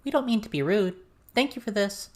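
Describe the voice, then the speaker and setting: A man voicing a gentle, hesitant, polite teenage girl in an audiobook. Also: high voice, expressive, fairly quick, voice pushed up.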